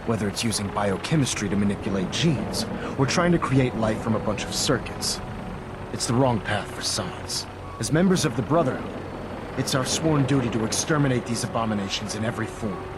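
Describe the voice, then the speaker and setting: An actor playing a stern, zealous military commander in a post-apocalyptic game, speaking formally and with authority. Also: male voice, fairly low pitch, lively intonation, a little fast, heavy background noise, close to an everyday voice. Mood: stern, commanding. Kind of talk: video game voice acting.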